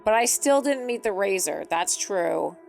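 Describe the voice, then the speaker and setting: Casting: female game streamer, mid-pitched, casual and chatty, thinking out loud over quiet background music. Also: expressive, unhurried, vocal fry. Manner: chatty, thinking aloud while playing. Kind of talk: casual live playthrough commentary. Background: soft game music.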